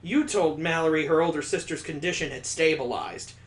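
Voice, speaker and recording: Brief: male reader playing flat, menacing young murderess, roomy echo. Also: sits low, brisk, close to an everyday voice. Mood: cold. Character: cold, murderous young woman, calm and flat.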